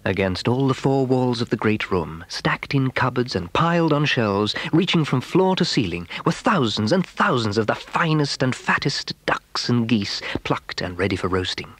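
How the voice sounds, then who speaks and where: A man's voice: mid-range voice, big pitch swings, normal speed, hardly any pauses, fairly bright tone, no echo. A man narrating a children's story in a dramatic storytelling manner.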